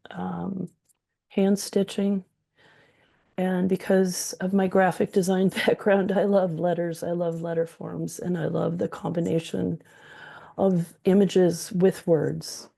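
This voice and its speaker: An older woman speaks with a hoarse, gravelly rasp and a dark, mellow tone. She talks slowly and unhurriedly, presenting her quilts with frequent ums. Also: fairly low pitch. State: hoarse from the flu. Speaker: textile artist presenting her work.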